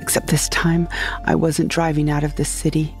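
Woman narrator, creaky voice, deadpan storytelling, scripted sci-fi comedy, clear music underneath. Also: low voice, normal speed. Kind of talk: first-person scripted comedic sci-fi audio drama narration.

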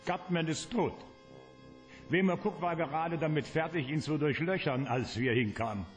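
Radio-play police officer, male actor: somewhat high pitch, reporting, music underneath. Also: moderate pace, close to an everyday voice. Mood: matter-of-fact.

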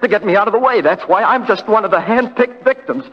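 An actor playing a highly nervous young man, anxious and urgent, in 1940s radio drama style. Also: fast, breathy edge. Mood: agitated, pleading. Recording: dull, noisy, echoing 1940 radio recording.

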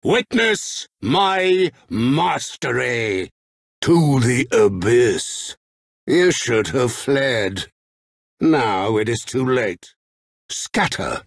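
An actor playing a menacing, contemptuous dark lord boss in a video game, slow and commanding with a gravelly, raspy voice. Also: male voice, mid-range voice, no echo, studio quality, full character voice. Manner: slow, commanding, scornful taunts.

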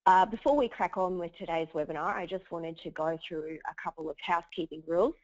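A woman, hoarse from a cold, hosting a webinar and running through housekeeping in a chatty way with many fillers. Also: on the low side, a little fast, a little mellow, dry recording. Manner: chatty, lots of uhs. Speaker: webinar host. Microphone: computer mic over a webinar line. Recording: dull dry webinar audio.

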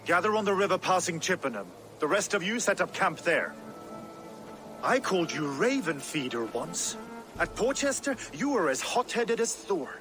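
An actor playing a grizzled, proud older Viking warlord in a video game, with a declamatory manner. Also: male voice, high-pitched, very animated, normal speed, music in the background. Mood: commanding. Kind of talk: dramatic video game acting.

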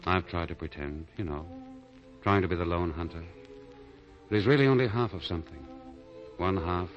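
An actor playing a romantic gentleman lover hiding sorrow, earnest and measured, in 1940s radio drama style. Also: sits low, close to an everyday voice. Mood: tender. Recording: muffled 1940 radio broadcast, faint music.

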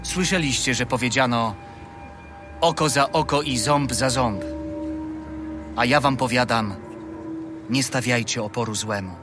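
An actor playing a calm, authoritative Jesus teaching his followers, earnest and instructive, in a gospel audio drama. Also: mid-range voice, a little slow, pauses often, music in the background, lightly acted.

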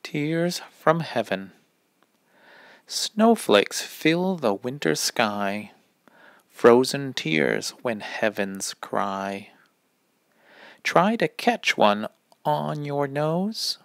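A man reading a rhyming children's picture book aloud in a deliberate, instructive manner. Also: mid-range voice, sing-song, vocal fry, dry recording, studio quality. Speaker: read-aloud reader.

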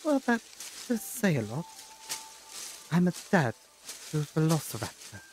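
A man acting a gentle, paternal, eccentric time-travelling Doctor in an audio drama, with measured, theatrical delivery and pauses. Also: fairly high pitch, sing-song, a little hiss or hum. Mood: tender, wry.

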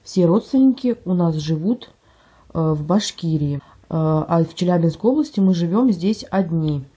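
A woman vlogger with a slightly hoarse voice from a cold, chatting casually at home and describing items she holds up for a phone camera. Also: fairly low pitch, expressive, normal speed, dark tone. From Russia. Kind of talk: casual show-and-tell vlog. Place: at home, indoors.

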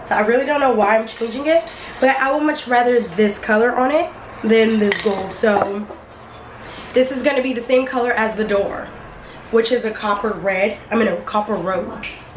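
A young woman talks casually into a handheld camera, chatty and playful, with a slightly high pitch. Her voice is a touch hoarse. The audio is noisy, with clear background sound. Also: normal speed. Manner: chatty and playful, with slang and laughs. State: hoarse, voice partly lost. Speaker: beauty vlogger. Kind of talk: casual vlog chat. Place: home beauty room, indoors, and outdoors in wind. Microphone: handheld camera at arm's length.

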